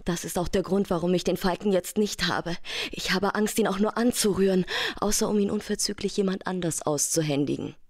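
Guarded femme fatale, fairly low female pitch, calculating, radio crime drama. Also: fairly quick, darkish tone. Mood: uneasy.

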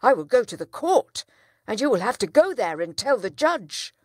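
A woman voicing a dishonest male town trader who speaks loudly and accusingly. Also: medium pitch, wildly swooping pitch, measured pace, gravelly, dry recording, clean studio sound, full character voice. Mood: indignant.

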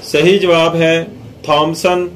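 Slow-paced man reading quiz narration. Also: on the high side, level tone, a bit bright, soft background music. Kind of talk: scripted quiz narration, questions and answers.

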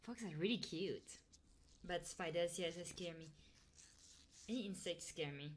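A woman's voice: on the low side, measured pace. A woman chatting casually to her live audience on an ASMR stream, often checking in with her listeners in a coaxing way. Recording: clear buzzing electric motor noise. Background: buzzing hum of a handheld massager.

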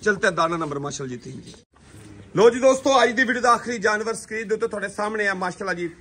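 A man hosting a livestock sale video outdoors on a farm, pitching cows in brisk, persuasive seller's patter. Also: animated, a bit bright, slightly rough. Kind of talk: casual sales commentary. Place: outdoor dairy farmyard.

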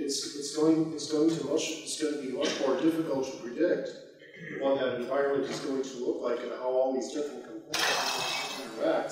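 A man's voice: mid-range voice, darkish tone. A man at a conference session, circling back over his own words, heard from far off through hall reverb. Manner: stammering, repeats phrases while thinking. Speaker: panel moderator. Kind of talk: unscripted panel discussion. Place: hotel conference room. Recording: echoey hall, distant, clearly noisy.

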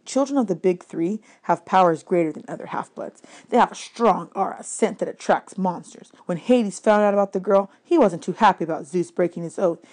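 A woman's voice: on the low side, a little mellow, close to an everyday voice. A woman voicing a nervous, glum young male satyr sidekick in a children's audiobook, explaining things anxiously. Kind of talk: children's fantasy audiobook character.

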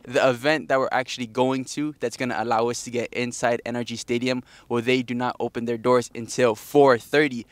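A man's voice: medium pitch, no echo. A man narrating a soccer travel vlog, upbeat and talking straight to his viewers. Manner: upbeat, addresses viewers as guys. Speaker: soccer vlogger. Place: outdoors and inside a large stadium.

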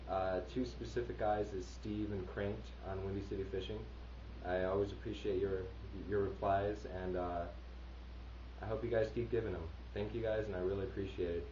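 A young man with a low, mellow voice talks in a relaxed, halting way, full of small fillers. He sounds set back from a webcam mic in a small room, so the sound is roomy and dull. A faint hiss sits under it. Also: far from the mic. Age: young adult. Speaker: fishing vlogger. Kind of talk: casual video message to viewers. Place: small indoor room, wood-paneled wall.

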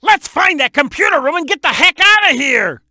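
A shouty cartoon croc detective for a video game, super high for a man, sharp and blaring, bossy n excitable. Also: moderate pace, no room sound, studio quality, heavily acted. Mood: excited, urgent. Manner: shouty, emphatic, blustering. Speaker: video game character giving hints. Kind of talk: animated video game voice acting.

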